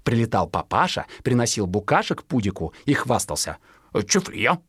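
A storyteller giving a theatrical, expressive scripted narration of a children's animal tale. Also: mid-range voice, measured pace, husky, dry recording, studio quality.

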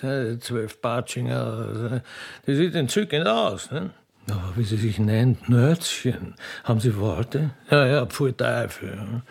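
A man's voice: medium pitch, vocal fry, a little mellow. A man voicing a gossiping, disdainful Viennese court aristocrat in a comic radio play, affected and dithering, with repetitive little tags.

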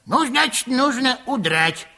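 A man's voice: very high-pitched, big pitch swings, unhurried, gravelly, bright, forceful tone, heavily acted. A man playing a fussy, anxious apothecary in a radio play, fretting and scheming as he talks to himself. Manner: fretful, talking to himself. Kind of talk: 1960s radio play acting.

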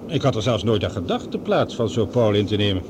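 A reserved professor in a radio drama. His delivery is dry and courteous, yet the pitch moves around a great deal. Loud rumbling noise lies behind him. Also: sing-song, a little fast. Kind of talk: Dutch radio play acting.

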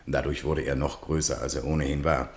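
A man's voice: a bass voice, fairly even intonation, brisk. A man reading scripted literary narration for a radio play in a solemn, rhetorical manner.

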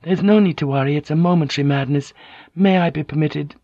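A man voicing a quick-witted Japanese noblewoman, urgent and deferentially pleading. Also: medium pitch, flat delivery, no room sound, muffled recording, voice pushed up, natural voice. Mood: urgent, placating.

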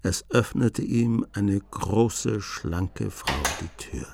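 A man narrating a radio play in a measured, storytelling manner. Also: fairly low pitch, lively intonation, creaky.

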